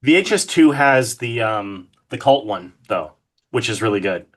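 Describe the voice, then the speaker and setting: A man's voice: on the low side, lively intonation, normal speed, a bit bright. A man chatting casually as a podcast guest, enthusiastic and loose with swearing. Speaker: podcast guest, horror artist. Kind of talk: casual interview chat about horror films.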